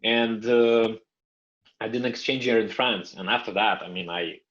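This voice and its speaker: A man's voice: lowish voice, normal speed, a bit bright. A young man whose first language is Russian, who grew up in Canada, talking as a podcast guest in a thoughtful way, trailing off and starting over. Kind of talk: conversational interview. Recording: muffled lo-fi audio.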